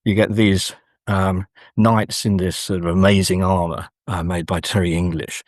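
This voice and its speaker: A man with a fairly low voice talks as an academic guest in an interview. He explains his ideas thoughtfully, often halting mid-sentence to find the next phrase. The sound is close and dry. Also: little pitch movement, no room sound. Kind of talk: interview discussion, scholarly explanation.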